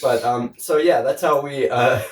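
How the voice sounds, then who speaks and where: A man's voice: medium pitch, a little slow. A man co-hosting a casual film discussion podcast, talking in a rambling, profane way. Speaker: podcast co-host. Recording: roomy, echoey, distant mic.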